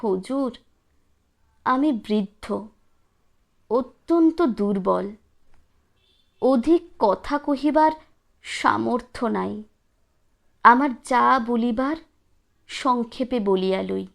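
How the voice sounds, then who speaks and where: A frail old man of a classic storybook , acted out by a woman. The delivery is weak, halting and very slow. He is solemn and honest, giving testimony with what strength he has. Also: fairly high pitch, expressive, creaky, heavily acted. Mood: weary, solemn. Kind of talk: dramatised storybook reading.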